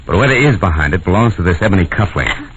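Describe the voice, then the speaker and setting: An actor with an American accent playing a tough, wry private detective in clipped 1940s radio noir style. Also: male voice, low voice, expressive, fast talker, background noise. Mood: earnest, firm. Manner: clipped, hard-boiled, wry. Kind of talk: 1940s radio acting, first-person noir narration. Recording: dull old radio broadcast, music behind.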